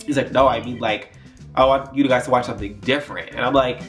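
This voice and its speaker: A man's voice: medium pitch, steady tone, fast, a bit bright, soft background music. A young man telling a storytime vlog in a rambling, chatty way with lots of fillers, his nose stuffed up. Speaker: YouTuber.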